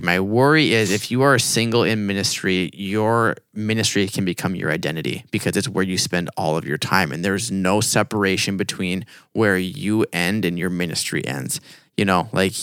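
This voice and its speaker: A man's voice: deep voice, normal speed, a bit bright, smooth, dry recording, studio quality. A man in his late twenties co-hosting a youth ministry podcast, talking earnestly and emphatically. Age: 20s. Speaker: youth pastor podcast co-host. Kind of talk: casual podcast chat.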